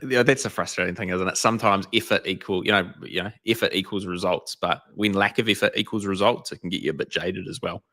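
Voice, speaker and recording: A man's voice: deep voice, fast, few pauses, bright, forceful tone. A man with a New Zealand accent, co-hosting a casual livestream chat about car detailing and reading out viewer comments. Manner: chatty, reads out live comments. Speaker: detailing channel co-host.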